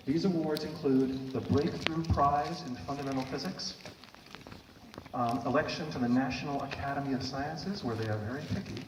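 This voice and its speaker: A man hosting an outdoor evening event, reading a measured, dryly witty introduction of the guest speaker. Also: medium pitch, background noise. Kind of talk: scripted formal introduction. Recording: echoey, distant phone recording.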